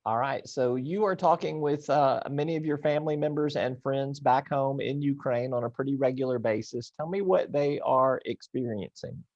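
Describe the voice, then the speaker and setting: A man's voice: mid-range voice, moderate pace, hardly any pauses, a little mellow, highs cut off. An older man interviewing a guest as the host of a broadcast talk program.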